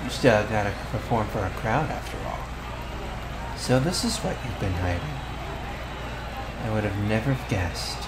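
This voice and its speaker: A man playing a bossy teenage school bully with a hidden soft side, in an ASMR roleplay, brusque and given to muttering. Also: on the low side, expressive, moderate pace, pauses often, close to an everyday voice. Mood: flustered, amazed. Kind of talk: ASMR boyfriend roleplay acting. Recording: clear background ambience noise.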